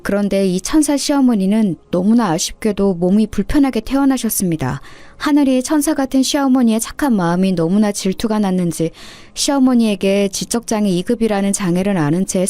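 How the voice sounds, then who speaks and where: A woman's voice: mid-range voice, warm tone, no echo. A woman reading a scripted first-person story as a narrator.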